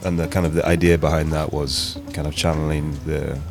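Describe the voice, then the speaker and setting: A man's voice: very deep voice, a little fast. A Northern Irish man in his thirties, a DJ and producer, chatting casually with lots of fillers in an interview. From Belfast, Northern Ireland. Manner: relaxed, rambling, many fillers. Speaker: guest DJ and producer. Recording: music playing underneath.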